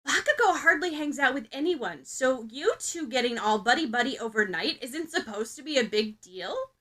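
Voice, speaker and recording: Storybook role: excitable schoolgirl best friend woman performer, teasing and pushy, very lively swooping delivery, bright tone. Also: high voice, normal speed, exaggerated voice. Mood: insistent. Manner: teasing, insistent, blithe. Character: nosy, excitable, plucky teenage schoolgirl friend.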